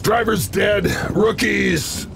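An actor playing a gruff, cocky, laconic soldier hero in a video game, deadpan and terse. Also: male voice, high voice, takes it slowly, background noise, full character voice. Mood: calm, in command. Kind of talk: video game cutscene acting. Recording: loud music behind the voice.

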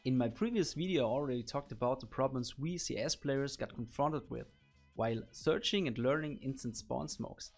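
A man's voice: mid-range voice, moderate pace. Man, 30s, upbeat gaming coach, studio mic at home, faint music underneath. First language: German. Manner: upbeat, explanatory, talks through gameplay. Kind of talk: game tutorial narration. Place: home office. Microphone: boom arm studio mic.

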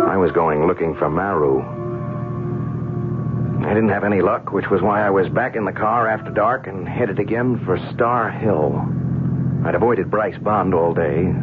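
An actor playing a skeptical, wry American journalist in a 1970s radio drama, speaking colloquially. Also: male voice, low-pitched, natural voice. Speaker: actor in a radio drama, also narrating as the character. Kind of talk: 1970s radio drama acting with first-person narration. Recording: noisy, tinny 1970s radio broadcast.

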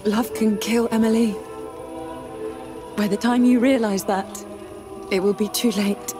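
A proud mother facing doom, played by a woman, with a grave, unyielding delivery. Her voice is mid-pitched and slow, set against loud game cutscene music. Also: steady tone, lightly acted. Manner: grave, deliberate. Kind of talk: video game cutscene acting.

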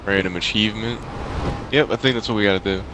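A man's voice: lowish voice, fast talker, background noise. A man giving casual, joking gameplay commentary and swearing freely. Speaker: gaming channel player. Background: video game music and sound effects.

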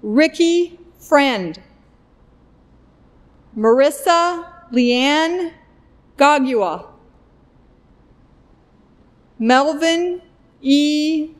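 Slow, high-voiced woman reading names. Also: animated, in a large hall. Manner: deliberate, evenly paced name reading at a podium. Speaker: commencement name reader. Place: indoor stage at a podium, recorded for a virtual ceremony. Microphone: podium microphone.

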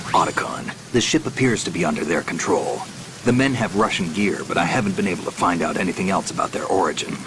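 An actor playing a gruff, hardened male covert operative, terse and wary, in a dramatic video game. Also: low voice, fast talker, background noise, close to an everyday voice. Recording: music and rain behind.

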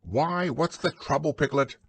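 A man voicing a bossy, scheming male rabbit from a storybook, brisk and instructing in manner. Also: fairly high pitch, fairly bright tone, slightly rough, no echo, pitched above the performer's own voice, heavily acted. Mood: explaining.

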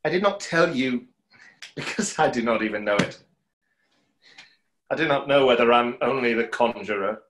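Casting: wistful, self-doubting conjurer, played by a man, mid-pitched and animated, hesitant stage-play delivery, roomy sound. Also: moderate pace, long pauses, a little hiss or hum, natural voice. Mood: troubled, confessional. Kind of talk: stage play read aloud over video call. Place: small home room, on a video call. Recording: roomy echo of a video call.

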